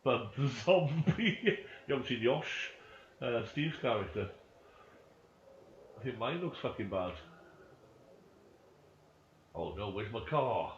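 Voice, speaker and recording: A man's voice: mid-range voice, expressive, takes it slowly, pauses often. A man chatting casually and jokily while playing an online role-play game, swearing freely. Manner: jokey, sweary, repeats catchphrases. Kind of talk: casual gaming chat with banter. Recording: muffled, faintly noisy, echoey room.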